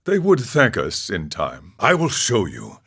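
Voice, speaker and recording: Deep-voiced, solemn mystic monk. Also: male voice, huge pitch swings, fairly bright tone, full character voice. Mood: wry, musing. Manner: solemn, measured, philosophical delivery. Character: older mystical wandering monk, serene, wry, ascetic. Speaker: voice actor in a video game. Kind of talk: scripted game character dialogue.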